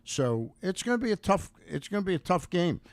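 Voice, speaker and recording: A man co-hosting sports radio, giving casual commentary in a halting way and repeating phrases. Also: high-pitched, big pitch swings, dry recording.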